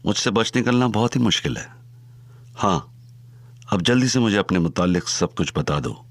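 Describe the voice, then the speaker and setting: An actor playing a dangerous older male gangster in an audio drama, talking slowly with calm, amused menace. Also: fairly low pitch, no echo. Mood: mocking. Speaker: Chacha Singhi.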